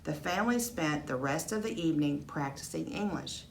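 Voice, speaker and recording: Low-voiced woman reading a children's story. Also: lively intonation, moderate pace, creaky, slight background noise, low-quality recording. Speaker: teacher reading aloud.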